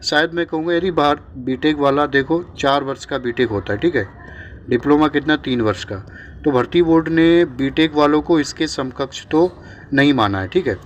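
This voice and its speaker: Higher-pitched man explaining updates, noisy background. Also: normal speed. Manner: reassuring, repetitive with frequent check-in tags; mixes English words into speech. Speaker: exam updates presenter. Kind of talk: informal explainer addressed to exam candidates.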